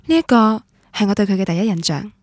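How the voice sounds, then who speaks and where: A woman's voice: mid-range voice, big pitch swings, fast, a little mellow, no echo, studio quality. An actress with a Cantonese accent playing a romantic, stubborn young woman who tells her love story in a radio drama. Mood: wistful. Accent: Cantonese, Guangdong. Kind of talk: radio drama narration and dialogue, introspective first-person.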